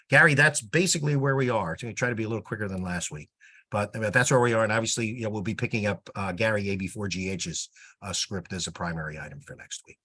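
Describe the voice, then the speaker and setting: Low-voiced, husky man on a call. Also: pushed and bright. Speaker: meeting participant giving a report. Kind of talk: conference call update. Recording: teleconference call audio.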